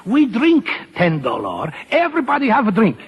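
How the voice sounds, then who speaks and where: High-voiced, swooping jolly Mexican fellow. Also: male voice, huge pitch swings, normal speed, breathy edge, full character voice. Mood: merry, boisterous. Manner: effusive, laughing, broken English. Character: jolly, tipsy, big-hearted Mexican carousel operator. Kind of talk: 1940s radio acting. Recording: old radio drama broadcast.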